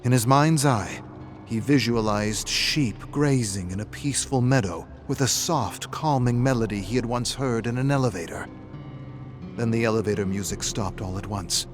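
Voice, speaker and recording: A man's voice: medium pitch, normal speed, soft background music. A man reading scripted horror fiction as a narrator, in a dramatic, suspenseful style.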